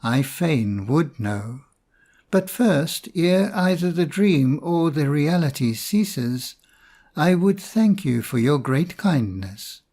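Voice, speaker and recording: A man playing a humble, bewildered young merchant-king, slow-spoken. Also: a little high, expressive, darkish tone, full character voice. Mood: earnest, puzzled. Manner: earnest, formal archaic speech.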